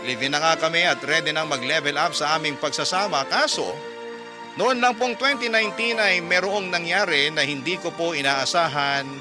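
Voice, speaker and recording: A man hosting a radio show, reading listeners' letters aloud as sentimental stories in a measured, earnest way. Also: a little high. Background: music bed under the voice.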